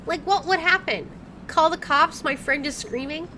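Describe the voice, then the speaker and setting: A woman's voice: high voice, moderate pace, a little hiss or hum. A woman playing a visual novel, reading the text aloud dramatically and reacting with casual commentary. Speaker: gamer doing a playthrough. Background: soft game music.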